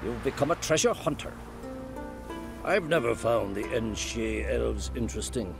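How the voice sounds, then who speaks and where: An actor playing a crusty, knowing older islander in a fantasy game, with gravelly voice and measured, archaic phrasing. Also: male voice, medium pitch, animated. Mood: knowing, dry. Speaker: supporting character in a fantasy video game. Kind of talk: game cutscene dialogue. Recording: music behind the voice.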